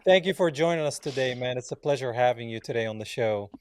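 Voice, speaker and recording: A man's voice: medium pitch, fast talker, a little mellow, smooth. A man from Palestine hosting a casual interview, laid-back and calling his guest man and bro. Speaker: host.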